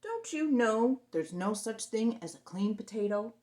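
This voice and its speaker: A woman voicing a bossy male mud monster in a storybook, booming, blunt and pushy. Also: lively intonation, measured pace, darkish tone, roomy sound. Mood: loud, insistent. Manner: blunt, insistent, taunting.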